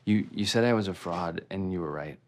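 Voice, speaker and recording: A man's voice: low voice, a little fast. An actor in his thirties playing an earnest young playwright who declares his love in a cozy coffee shop. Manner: heartfelt, speaking at a microphone. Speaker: playwright speaking at a microphone. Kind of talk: film drama acting. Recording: film soundtrack with music underneath.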